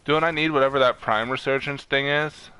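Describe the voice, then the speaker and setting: A man chatting casually while streaming an online game, excitable and given to swearing. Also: medium pitch, fairly quick, clean tone, no room sound, low-quality recording. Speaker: gaming streamer. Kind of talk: casual chat while playing a co-op online shooter.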